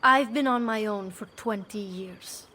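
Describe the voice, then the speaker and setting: An actress playing a proud, determined brothel madam in a video game, assertive, with an Italian accent. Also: female voice, mid-range voice, measured pace, sharp tone, in a large hall, a little hiss or hum. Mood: defiant, determined. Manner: assertive, self-assured. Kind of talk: video game cutscene acting. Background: music.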